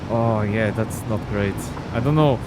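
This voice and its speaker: A young man from Belgium, streaming a game and chatting casually with his viewers in a relaxed way. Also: fairly low pitch, unhurried, very noisy. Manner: relaxed, chatty, reading and answering chat. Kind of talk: casual gameplay commentary with chat.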